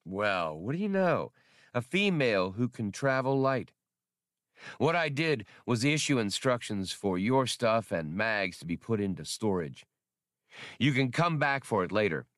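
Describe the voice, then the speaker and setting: A man's voice: lowish voice, very animated, unhurried, a bit bright, no echo, clean studio sound. A man voicing a brash, wary male space smuggler in an audiobook, talking in a clipped, sardonic, bossy way. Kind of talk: audiobook character dialogue.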